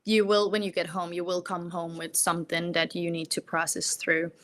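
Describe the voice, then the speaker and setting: Low-pitched woman from Denmark, casual interview guest. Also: level tone, moderate pace, a bit bright. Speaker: interview guest, former volunteer medic. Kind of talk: casual, reflective interview talk.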